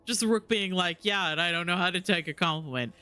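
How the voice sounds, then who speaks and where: A man's voice: sits high, brisk, sharp tone, smooth, no echo. A man giving casual live gameplay commentary as a streamer, affectionate and gushing, close on the microphone. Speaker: video game streamer.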